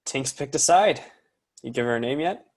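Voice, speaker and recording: A woman's voice: a bass voice, animated, normal speed, darkish tone, heavily acted. A woman playing a laid-back young adventurer in a casual dramatic table read. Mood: wry.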